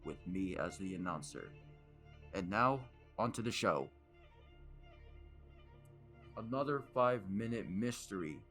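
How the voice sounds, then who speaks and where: A man's voice: sits low, animated, a little slow, long pauses, fairly bright tone. A man announcing a scripted radio mystery show as its narrator, with music behind him.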